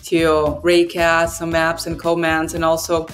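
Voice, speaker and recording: A woman's voice: sits low, a little slow, soft background music. Brazilian Portuguese woman chatting on a call. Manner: relaxed, conversational, frequent "like" fillers. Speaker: developer relations guest. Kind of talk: casual video call chat. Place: home room. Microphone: video call.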